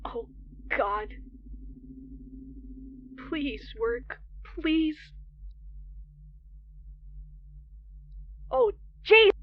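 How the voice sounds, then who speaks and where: A woman's voice: high-pitched, big pitch swings, drawn-out words, phone-quality sound, a little hiss or hum, exaggerated voice. A woman playing a scared young late-night radio DJ in a horror audio drama, polite but panicky. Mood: panicked. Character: frightened young non-binary late-night radio DJ, trapped alone. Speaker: radio host.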